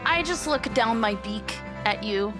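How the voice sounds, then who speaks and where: A woman's voice: medium pitch, lively intonation, unhurried, music in the background. A woman chatting and improvising casually as a guest player in a tabletop game.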